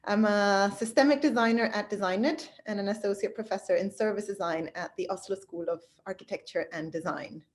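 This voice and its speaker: A woman's voice: mid-range voice, fairly quick, few pauses. A woman from Chile, a designer and professor, giving a short formal self-introduction. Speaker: systemic designer and associate professor.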